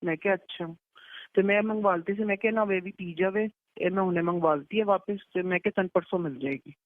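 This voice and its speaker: A woman's voice: mid-range voice, fairly quick, dry recording. A woman with a Pakistani Punjabi accent in a casual private phone conversation, recounting what was said by quoting it. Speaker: daughter on a phone call. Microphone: telephone. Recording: narrow, muffled leaked phone line.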